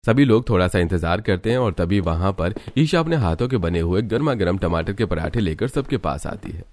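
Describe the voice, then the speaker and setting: A man's voice: low voice, animated, brisk, a little mellow. A man reading scripted narration for a family cartoon story.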